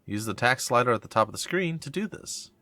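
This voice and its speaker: A man's voice: medium pitch, animated, a little fast, sharp tone, dry recording. A man with a stuffy, congested voice, a let's-play host who reads tutorial text aloud and thinks aloud as he plays. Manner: thinking aloud, reads on-screen text then reacts with mild puzzlement. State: congested from a cold. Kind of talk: casual gameplay commentary reading tutorial text aloud.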